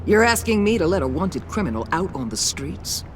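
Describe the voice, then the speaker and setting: Commanding agency boss, animated pitch. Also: female voice, moderate pace, a little hiss or hum. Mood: calculating. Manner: commanding, cutting, sarcastic. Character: hard-nosed, authoritative female agency boss, ruthless and scornful. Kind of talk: video game acting.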